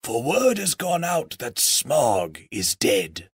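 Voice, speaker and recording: A man voicing a slow, croaking ancient raven. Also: medium pitch, huge pitch swings, no room sound, studio quality, exaggerated voice. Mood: solemn, feeble. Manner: slow, formal, archaic counsel. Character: decrepit ancient raven chief, solemn and wise.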